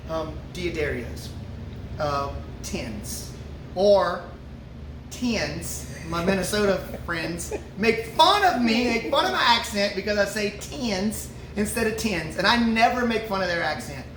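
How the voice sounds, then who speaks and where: A man, a musician, answering fan questions in a relaxed, joking way with lots of fillers. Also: high-pitched, slow pace. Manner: laid-back, joking, many fillers. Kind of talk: casual Q&A chat. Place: brewery before a show. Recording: roomy echo, from a distance, ambient noise.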